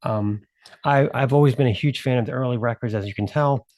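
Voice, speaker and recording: A man co-hosting a podcast, talking in a relaxed way with lots of fillers and wry asides. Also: medium pitch, fairly quick, dry recording. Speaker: podcast co-host. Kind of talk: casual podcast chat.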